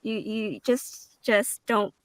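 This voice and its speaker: A woman's voice: medium pitch, fairly even intonation, slow, a bit bright, dry recording. Woman chatting casually, dry close sound. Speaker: voice actor, panel guest. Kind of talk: casual roundtable chat.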